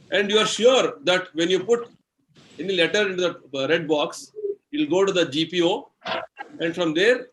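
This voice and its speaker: Indian man giving a slow devotional lecture. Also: high voice, a bit bright, a little hiss or hum, low-quality recording. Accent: Indian English. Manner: slow, explanatory, everyday examples, frequent "you know" and "uh". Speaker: spiritual speaker answering questions.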